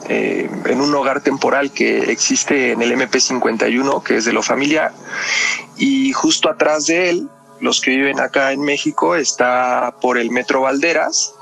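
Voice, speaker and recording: A man's voice: mid-range voice, normal speed, creaky, fairly bright tone, faint music behind. A man with a Mexican accent explaining things conversationally as a podcast guest, often ending phrases with questioning tags.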